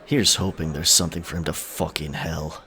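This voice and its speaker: An actor playing a gruff, protective tough man in a historical audio drama, growling with contempt. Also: very deep voice, steady tone, normal speed, sharp tone. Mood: cold, mocking. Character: gruff, defiant, protective male pirate-era tough.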